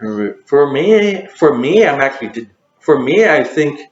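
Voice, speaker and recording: A man's voice: on the high side, big pitch swings, measured pace. A man hosting a casual question game, talking with many fillers and restarts. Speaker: host. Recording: roomy, dull lo-fi sound.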